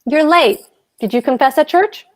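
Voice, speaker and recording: A woman's voice: sits high, expressive, normal speed. A woman playing a brusque, stubborn husband and landlord in a comic play table read, speaking in blunt, clipped phrases.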